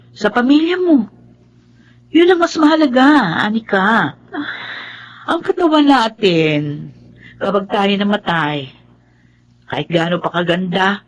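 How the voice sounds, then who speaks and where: A woman playing a frank, caring, principled friend in a Filipino radio drama, giving earnest, measured advice. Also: mid-range voice.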